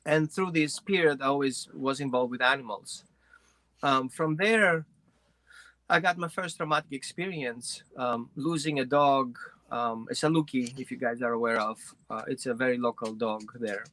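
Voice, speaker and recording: Guy from greece telling his story, bright voice, kinda halting, dry close sound. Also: mid-range voice, no echo. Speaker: guest, dog trainer. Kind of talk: personal storytelling in an interview.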